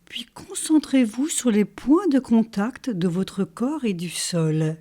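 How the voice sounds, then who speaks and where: A woman leading a guided yoga nidra relaxation as a teacher, calm and soothing, in short phrases with pauses. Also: fairly high pitch. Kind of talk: guided relaxation meditation, instructional.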